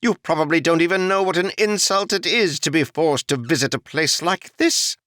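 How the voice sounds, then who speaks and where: A man's voice: on the high side, normal speed, a bit bright, no echo, clean studio sound, pitched above the performer's own voice, close to an everyday voice. A man voicing a haughty exiled noble who speaks with a strange accent and scorns the commoners around him. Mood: annoyed. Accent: strange foreign-sounding lilt. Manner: sneering, snapping, complaining.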